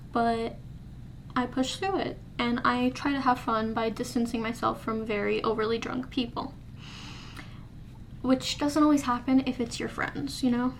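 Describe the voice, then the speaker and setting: A woman's voice: a little high, slight background noise. A woman in her early twenties talking candidly to the camera in her bedroom, rambling with restarts in a casual diary vlog. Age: early 20s. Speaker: vlogger. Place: bedroom indoors. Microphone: camera in front of her face.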